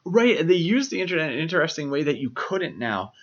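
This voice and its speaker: A man's voice: fairly high pitch, sing-song, fast talker. A man co-hosting a podcast, talking through films in a casual, explanatory way with frequent filler phrases. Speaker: podcast co-host. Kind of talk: casual film discussion. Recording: dull, lo-fi podcast audio.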